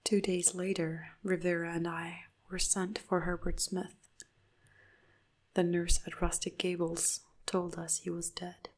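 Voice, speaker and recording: Slow, flat whispering woman narrator. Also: on the low side. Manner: soft, hushed whisper throughout. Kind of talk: whispered horror story narration.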